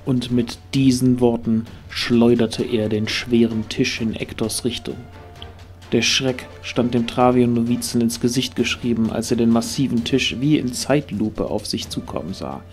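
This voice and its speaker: A man's voice: medium pitch, unhurried, soft background music. A man reading scripted narration for a fantasy audio drama.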